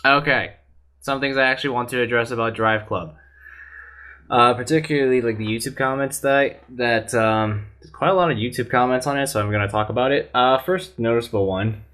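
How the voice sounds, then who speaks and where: Male panelist, bright timbre, earnest rambling delivery. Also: mid-range voice, brisk. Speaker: podcast panelist. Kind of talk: casual opinionated chat.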